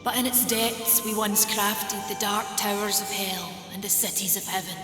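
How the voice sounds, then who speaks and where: Actress as sturdy maker of the forge; mid-pitched, earnest, proud, old-world phrasing, over a loud game score. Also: female voice, steady tone, normal speed, lightly acted. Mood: proud, wry. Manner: frank, earnest, archaic turns of phrase. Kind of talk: dramatic fantasy game acting. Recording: loud music behind the voice.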